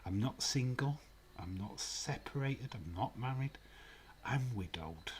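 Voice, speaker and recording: A man's voice: medium pitch, animated, measured pace, a little hiss or hum. A man with a Yorkshire accent hosting a live stream, chatting casually and teasing his chat, laughing often and sometimes dropping to a near whisper. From Yorkshire, England.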